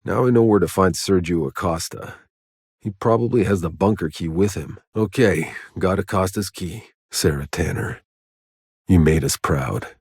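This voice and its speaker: A man playing a gruff, wry, battle-worn soldier in a sci-fi video game. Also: sits low, no room sound, studio quality, full character voice. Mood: grim, matter-of-fact. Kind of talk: video game voice lines, dramatic acting.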